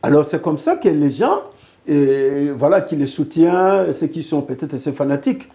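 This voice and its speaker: A man's voice: on the high side, very animated, moderate pace, warm tone. A man from Congo speaking earnestly in a political interview, pressing his points by repeating phrases. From Congo (DRC). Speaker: interview guest.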